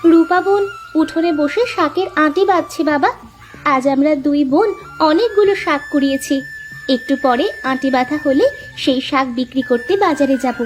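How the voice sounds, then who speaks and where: A woman's voice: sits high, moderate pace, faint music behind, close to an everyday voice. A woman voicing a caring, dutiful young village daughter in an earnest, simple way for a moral-story cartoon. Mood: caring, concerned.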